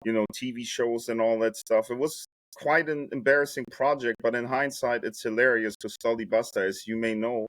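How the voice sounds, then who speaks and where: A man's voice: medium pitch, fairly even intonation, moderate pace, fairly bright tone, dry recording, rough recording. A man in his forties from Germany, telling his life story with jokes and loose American slang as a podcast guest. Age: 40s. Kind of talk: casual storytelling.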